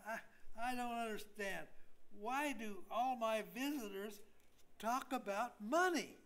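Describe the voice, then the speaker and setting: A man's voice: very high-pitched, unhurried, fairly bright tone, heavily acted. An older man playing a tired, complaining hospital patient in a staged comedy reading, hesitant and plaintive. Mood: weary.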